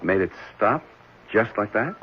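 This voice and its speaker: Patient middle-aged father, low lively voice, reassuring, faintly uneasy, 1970s radio drama, hissy recording. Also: a little slow, lo-fi sound. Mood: gentle, uneasy.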